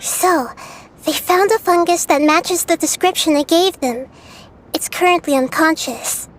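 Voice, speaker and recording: A gentle, childlike nature goddess. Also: female voice, very high voice, measured pace. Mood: calm. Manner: calm, thoughtful, earnest. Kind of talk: video game voice acting. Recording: game soundtrack playing loudly behind. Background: game soundtrack music.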